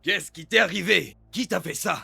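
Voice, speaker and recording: A man's voice: high voice, normal speed, gravelly, bright, forceful tone, breathy edge, no room sound, exaggerated voice. A man acting in an audio drama as a worried, fond father of a young huntress. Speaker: voice actor.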